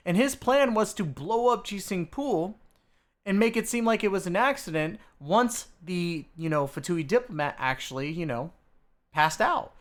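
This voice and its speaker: A man's voice: high voice, a bit bright. A man hosting a video, giving a casual, informal game story recap straight to his viewers. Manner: informal, addresses viewers as dudes and guys. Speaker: video host.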